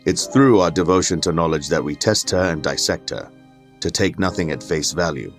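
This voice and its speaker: Deep-voiced, pompous learned man played by an actor. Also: expressive, normal speed, faint music behind. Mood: earnest, argumentative. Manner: pompous, formal, lecturing. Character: haughty, learned skeptic gentleman, argumentative and condescending. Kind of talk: dramatic audio fiction acting.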